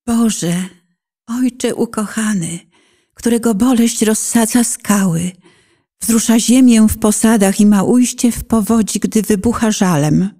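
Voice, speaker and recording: A woman narrator reciting poetic prayer in a solemn, deliberate, dramatically pleading manner. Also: on the high side, warm tone.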